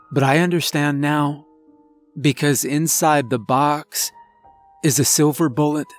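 A man voicing a remorseful werewolf husband and father confessing in a grave, halting, emotional horror story. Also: medium pitch, natural voice. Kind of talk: dramatic first-person horror storytelling. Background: background music.

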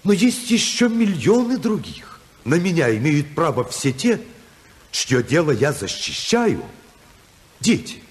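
A man's voice: a little high, sing-song, measured pace. An actor playing an idealistic congressman torn by love, earnest and oratorical in a 1950s radio drama style. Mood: impassioned, resolute. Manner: earnest, oratorical, swinging from hushed to impassioned.

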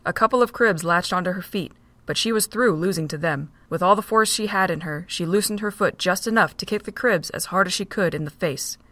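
Steady woman narrating a fan drama. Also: lowish voice, brisk, few pauses, no room sound. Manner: steady reading. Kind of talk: storybook narration.

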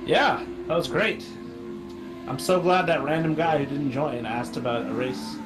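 An easygoing man with a slightly higher voice chats and jokes with friends over voice chat. He laughs between remarks. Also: measured pace, music in the background.

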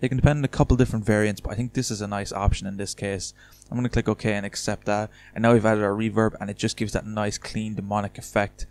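A man with a fairly low voice talks quickly through a casual software tutorial. He explains each step informally and chattily, as a voice-over host. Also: level tone.

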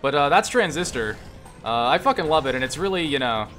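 A man giving casual, profane gameplay commentary, enthusiastic but halting, often restarting his phrases. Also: on the high side, lively intonation, fairly bright tone. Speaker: gamer and game reviewer. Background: game music and sound.

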